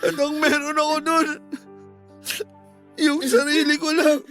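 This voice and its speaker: A man's voice: very high voice, monotone, a little slow, fairly bright tone, faint music behind. A man playing a bewildered, hurt young son in a Filipino radio drama, speaking with polite, wounded earnestness. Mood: hurt, tearful. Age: young adult.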